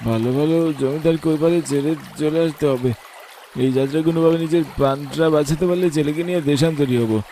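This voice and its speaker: Man voicing a timid, high-voiced poor boatman. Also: fast, warm tone, slight background noise, lightly acted. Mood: anxious relief. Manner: stammering, deferential, anxious. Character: timid, fearful, humble poor village boatman. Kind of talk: Bengali horror cartoon voice acting.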